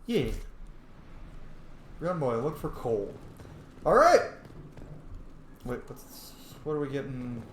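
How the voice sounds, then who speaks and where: A man's voice: medium pitch, big pitch swings, slow, long pauses, a bit bright. A man giving casual commentary as he plays a game, muttering to himself in short fragments. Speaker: gamer narrating a roleplay playthrough. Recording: echoey room, faint background noise.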